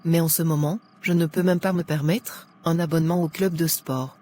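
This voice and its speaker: A woman's voice: low voice, steady tone, moderate pace, dark tone. Woman acting a dejected, rejected young woman.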